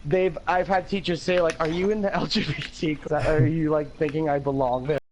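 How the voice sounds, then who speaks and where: A teenage man chatting on a livestream, with a higher-pitched voice, an occasional lisp and many "like"s and curses. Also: moderate pace, slight background noise, rough recording. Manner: talkative, rambling with many "like"s, swearing, animated laughs. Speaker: livestream host. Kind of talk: casual chat on a game livestream.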